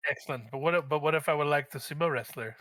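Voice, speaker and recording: Bright-voiced man, playful and animated. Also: fairly high pitch, racing, no room sound. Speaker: podcast guest. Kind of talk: casual, joking chat.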